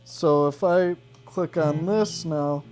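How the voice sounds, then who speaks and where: A man's voice: a little high, slow, a little mellow. A man explaining a guitar effects pedal step by step in an informal demo, often checking in with the listener. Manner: informal, checks in with "right" and "okay".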